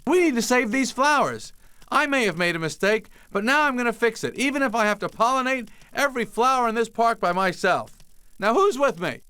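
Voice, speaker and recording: Bright, excitable young bee hero. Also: male voice, extremely high pitch, a little fast, clear, resonant tone, dry recording, exaggerated voice. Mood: determined. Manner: casual, stammering, self-deprecating quips. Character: earnest young male bee, plucky wisecracking cartoon hero.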